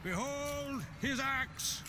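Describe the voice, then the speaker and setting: A woman's voice: high voice, extremely slow, background noise. A woman playing a proud, blunt noblewoman in a video game, addressing a gathering in a solemn, declamatory manner. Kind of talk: video game cutscene acting.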